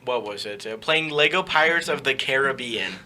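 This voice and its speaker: A young man co-hosting a radio show in casual chat, rambling and halting as he searches for words. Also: mid-range voice, expressive, sharp tone. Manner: rambling, halting, many restarts and "uh"s.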